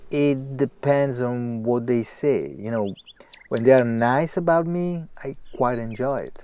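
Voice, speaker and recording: An actor playing a famous male writer, agitated, self-deprecating and obsessed with his work, halting and repeating himself in a naturalistic audio drama. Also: medium pitch, darkish tone, slight background noise, lightly acted. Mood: modest, musing.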